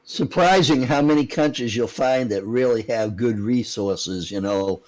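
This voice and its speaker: A man's voice: medium pitch, a little slow, poor audio. A man chatting casually in an easygoing, joking way. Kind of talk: casual chat.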